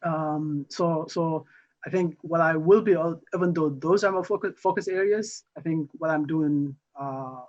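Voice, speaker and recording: A young man from Somalia, a newly elected council member, answering interview questions haltingly with many fillers and restarts. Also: on the high side, a little mellow. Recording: muffled lo-fi audio.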